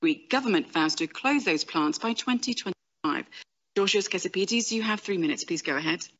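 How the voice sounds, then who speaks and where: A young woman moderating a panel, giving polite, steady introductions at a desk microphone in a conference studio. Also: lowish voice, brisk. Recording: slightly muffled stream audio.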